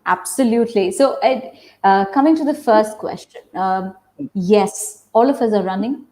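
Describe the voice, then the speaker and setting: A woman's voice: mid-range voice, normal speed, mellow. A woman theatre practitioner explaining ideas on a webinar, stressing her points by repeating key phrases.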